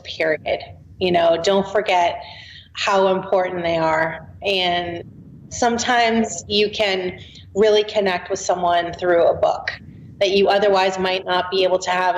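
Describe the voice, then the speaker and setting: Gravelly-voiced woman author in her forties. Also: on the low side. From Boston. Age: 40s. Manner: chatty, laughs easily. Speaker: author, podcast guest. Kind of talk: casual interview chat. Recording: roomy, dull remote audio with faint noise.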